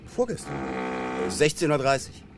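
An actor playing an earnest, hesitant psychologist in a naturalistic radio crime drama, speaking in a measured, explanatory way. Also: male voice, a little high, animated, vocal fry, background noise. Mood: earnest, explaining.

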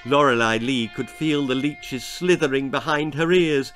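A man's voice: medium pitch, measured pace, fairly bright tone, soft background music. A man in his sixties reading a children's horror story dramatically, savouring his words. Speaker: author reading own story. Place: small book-lined room indoors.

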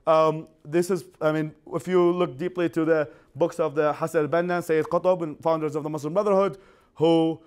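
Iraqi man in his 30s, English, slightly high voice, animated classroom lecturer who restarts often. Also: moderate pace, clear, resonant tone. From Iraq. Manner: animated, gestures widely, frequent restarts. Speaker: guest speaker. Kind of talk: university lecture. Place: university classroom.

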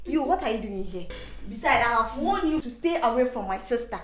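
A woman's voice: on the high side, animated, brisk, close to an everyday voice. An actress with a Nigerian accent playing a bossy, hot-tempered young woman in a screen drama. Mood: furious. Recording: echoey room, faint noise.

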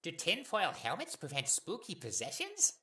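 A woman's voice: on the low side, huge pitch swings, normal speed, pushed and bright, reverberant, exaggerated voice. A woman voicing a naive, earnest male skeleton in an audio drama, speaking emphatically. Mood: earnest, goofy.

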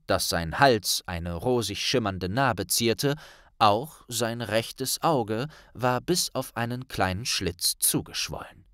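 A man's voice: medium pitch, fairly bright tone, no room sound, studio quality. A man narrating an audiobook in a dramatic scripted storytelling style.

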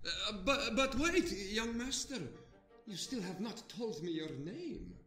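A man's voice: very high-pitched, sing-song, a little slow, fairly bright tone, faint music behind, full character voice. Man acting hesitant, flustered trader, roomy and distant. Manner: hesitant, stammering. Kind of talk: audio drama acting.

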